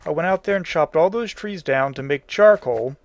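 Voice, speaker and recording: A man's voice: fairly high pitch, expressive, moderate pace, no room sound. A man hosting a casual video game tutorial, explaining each step in plain, folksy wording. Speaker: tutorial host.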